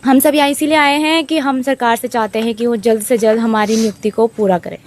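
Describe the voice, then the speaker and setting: A woman's voice: on the high side, steady tone, brisk, a little mellow, slight background noise. A woman from Uttarakhand, a protesting trainee teacher, speaks earnestly and a little hesitantly at an outdoor protest. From Uttarakhand, India.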